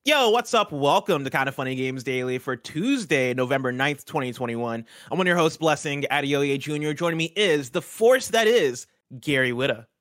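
A man's voice: fairly high pitch, lively intonation, normal speed, hardly any pauses, a bit bright, no echo, clean studio sound. A man hosting a casual gaming news show, talking energetically and chattily with lots of fillers. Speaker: podcast host.

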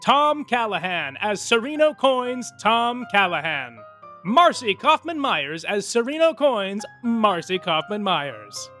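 A man delivers comic scripted narration like a grandiose announcer. His voice is bright and swings widely in pitch. Music plays faintly behind him as he reads with theatrical flair. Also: sing-song, slow pace.

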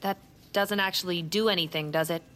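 A woman playing an impatient, practical character in an audio drama, brisk and casual. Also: lowish voice, fairly even intonation, fairly bright tone, slight background noise, close to an everyday voice. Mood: mild impatience.

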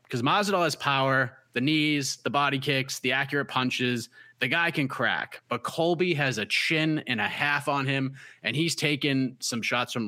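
A man's voice: medium pitch, pushed and bright, no room sound. A man with a light Boston accent, an MMA analyst giving emphatic casual fight picks and repeating his key points. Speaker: MMA analyst on a preview show.

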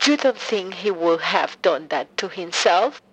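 A woman's voice: on the low side, expressive, a little slow, slightly rough. An actress playing a grieving, bitter mother on a phone call in an audio drama, speaking tersely and with suspicion. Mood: shocked, disbelieving. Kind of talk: full-cast audio drama acting.

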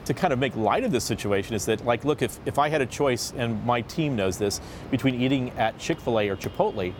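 A man hosting a casual roundtable discussion, talking in an animated and jokey way with an upbeat lilt. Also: mid-range voice, fairly quick, a little hiss or hum. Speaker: host of a discussion panel. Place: seated at a table in a city.

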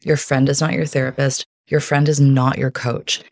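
A woman's voice: extremely low pitch, level tone, normal speed, vocal fry, no echo, clean studio sound. A woman, a podcast guest, chatting casually and earnestly about coping, laughing often. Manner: warm, earnest advice-giving with frequent laughs. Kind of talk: casual interview chat.

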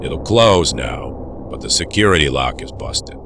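An actor playing a blunt, controlling male doctor in an audio drama, unhurried, condescending and firm. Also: rumbling low, lively intonation, vocal fry, background noise, full character voice. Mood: wry, calm.

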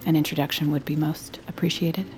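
An actress playing a teasing, gossipy psychiatrist in an audio drama. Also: female voice, sits low, level tone, a little fast, darkish tone, faint music behind, close to an everyday voice. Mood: charming. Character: gossipy, sly woman psychiatrist.

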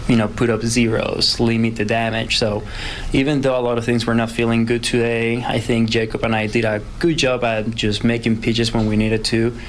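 A man with a Venezuelan Spanish accent giving a measured postgame interview, repeating words and often saying "you know". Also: mid-range voice, level tone, a little hiss or hum. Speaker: pitcher interviewed after game.

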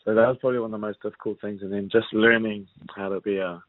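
A man's voice: lowish voice, no room sound. Man from New Zealand on a tinny call line. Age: young adult. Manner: relaxed, halting, many fillers and restarts. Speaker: rugby league player, guest. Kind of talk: casual interview chat. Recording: dry, phone-like narrow sound.

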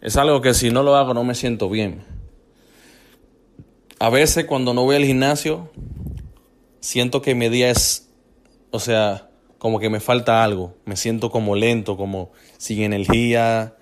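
A man's voice: mid-range voice, fairly even intonation, a little slow, long pauses. A man from the Republic, hosting a casual motivational fitness podcast, talking loosely, repeating himself and dropping in English fillers. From Dominican Republic. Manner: loose and repetitive, restarting phrases, mixing in English fillers.

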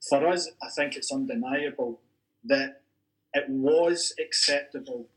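A Scottish man, an academic in a podcast discussion, making careful, measured points that he qualifies as he goes. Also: mid-range voice, poor audio. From Scotland. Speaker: university academic discussing football history.

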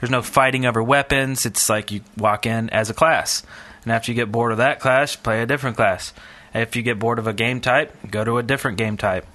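A man's voice: mid-range voice, steady tone, fairly quick, bright, forceful tone. A man co-hosting a podcast, talking casually and informally, thinking aloud as he goes. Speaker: podcast co-host. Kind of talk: casual gaming podcast chat.

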